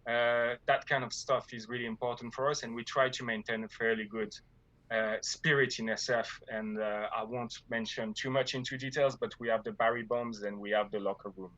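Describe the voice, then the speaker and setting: Man with a French accent, casual interview guest, halting delivery, dry and slightly muffled sound. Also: mid-range voice, fairly even intonation, pushed and bright, no echo. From France. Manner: hesitant, many fillers and restarts. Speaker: guild leader.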